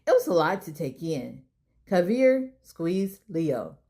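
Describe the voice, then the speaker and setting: A woman hosting a children's storytime, reading aloud in an expressive way as if talking straight to young listeners. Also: fairly low pitch, takes it slowly. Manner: expressive, addressing children directly. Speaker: storytime reader and host. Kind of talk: children's read-aloud narration.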